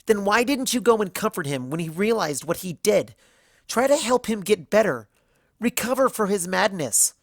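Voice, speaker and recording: Storybook unicorn student, performed by a narrator: young female, raised pitch, cutting, accusing, righteous and sarcastic. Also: animated, moderate pace, no room sound, studio quality. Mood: accusing, hurt. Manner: sharp, cutting, rhetorical questioning.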